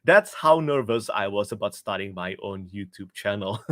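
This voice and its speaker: A man's voice: fairly low pitch, big pitch swings, a little slow, a bit bright, dry recording. Chatty Indonesian man, close dry sound. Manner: chatty, laughs often, restarts mid-sentence. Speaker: book reviewer hosting a livestream. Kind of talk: casual livestream Q&A.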